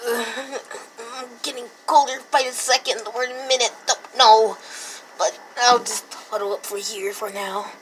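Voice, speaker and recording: A woman's voice: on the high side, steady tone, a little slow, slight background noise, pitched above the performer's own voice, close to an everyday voice, rough recording. A woman voicing a small, bored, playful male otter creature in a fan comic dub, whiny and fond of dramatic sighs. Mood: worried. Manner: whiny, dramatic sighs, grows gloomy when trapped.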